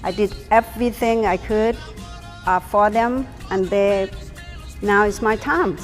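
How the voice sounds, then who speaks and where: A woman's voice: medium pitch, measured pace. Lady in her 60s, earnest and emphatic, bit of hall echo, music underneath. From Thailand. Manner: earnest, emphatic, non-native grammar. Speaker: ballroom dancer, interviewee. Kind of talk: interview answers.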